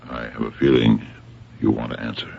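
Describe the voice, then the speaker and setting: Very deep, gravelly police captain. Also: male voice, flat delivery, a little fast, full character voice. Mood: gently probing. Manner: hesitant, courteous, quietly insistent. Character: patient, shrewd male police captain, quietly probing. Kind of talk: old-time radio drama acting. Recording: dull, muffled vintage radio recording.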